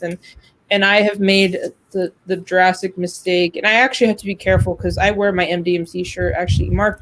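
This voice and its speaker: A woman's voice: on the low side, low-quality recording. A woman with an American accent chatting informally and telling anecdotes, acting out quoted exchanges. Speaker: business podcast co-host. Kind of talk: casual chat, anecdotes. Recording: noticeable background noise, clicks.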